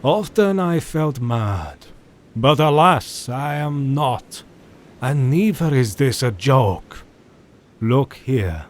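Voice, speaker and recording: A solemn older doctor who mentors others in a gothic audio drama. He speaks slowly and formally, with theatrical rises and falls in pitch. A faint rumble sits under the voice. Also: animated. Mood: grave, urgent. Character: grave older male doctor and mentor, solemn vampire hunter.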